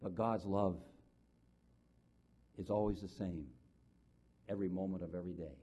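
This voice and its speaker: Earnest husky-voiced male preacher. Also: fairly even intonation, normal speed. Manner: earnest, swings from loud to soft and low. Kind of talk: sermon with personal story.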